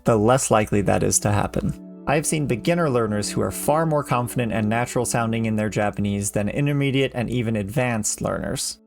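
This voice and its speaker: A man in his thirties, a language teacher, reading a measured, earnest voice-over lesson. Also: mid-range voice, creaky. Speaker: Japanese teacher. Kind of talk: scripted educational voice-over. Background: soft background music.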